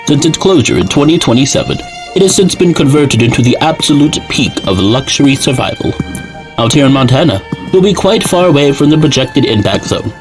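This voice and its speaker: A man's voice: medium pitch, very animated, fairly quick, music in the background, close to an everyday voice, low-quality recording. A man playing a polished, formal salesman who is eager to sell, in an audio drama. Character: polished male salesman pitching a luxury survival bunker.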